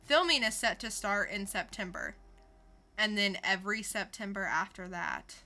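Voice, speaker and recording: A woman's voice: medium pitch, big pitch swings, normal speed, pushed and bright. A woman co-hosting a podcast, reading scripted pop-culture news and adding dry, sarcastic punchlines. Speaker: podcast co-host.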